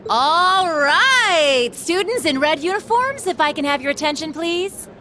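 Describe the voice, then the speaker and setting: A woman's voice: very high voice, sing-song, pushed and bright, a little hiss or hum, heavily acted. An actress voicing a breezy, playful, confident young female instructor addressing students in a video game. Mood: perky, teasing. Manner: perky, teasing, drawn-out words.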